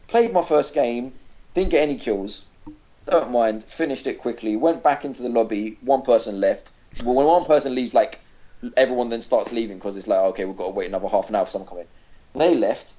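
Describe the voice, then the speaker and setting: A man's voice: mid-range voice, mellow. A man co-hosting a casual gaming podcast, ranting animatedly and swearing freely. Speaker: podcast co-host. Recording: dull lo-fi podcast audio.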